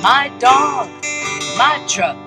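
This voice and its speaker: A woman's voice: sits high, animated, drawn-out words. A woman singer-songwriter, chatting and singing her own songs outdoors in a park while playing guitar. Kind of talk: singing original songs with chat. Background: loud acoustic guitar.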